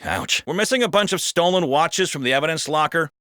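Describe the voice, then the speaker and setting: Bright, animated American cop. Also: male voice, fairly high pitch, brisk, no echo, studio quality. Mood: bantering. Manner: expressive, colloquial, comically exaggerated. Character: wisecracking, foul-mouthed male city cop. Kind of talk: scripted video game character banter.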